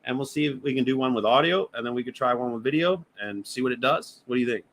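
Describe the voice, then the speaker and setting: A man's voice: mid-range voice, fast talker, a bit bright. A man hosting a casual podcast livestream, talking loosely and swearing freely as he thinks aloud. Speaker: podcast host. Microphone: remote stream setup.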